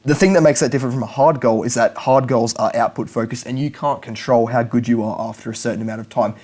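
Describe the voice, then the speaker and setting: Young man, casual video lesson, rambling, restarts phrases, mid pitch. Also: steady tone, fast, darkish tone. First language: English. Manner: rambling, repeats and restarts words. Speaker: language learning YouTuber. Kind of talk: casual explainer to camera.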